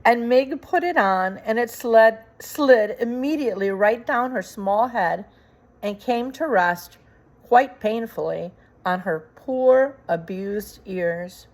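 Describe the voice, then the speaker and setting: A woman reading a children's story aloud as narrator, with dramatic, deliberate phrasing. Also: on the high side. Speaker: storybook reader.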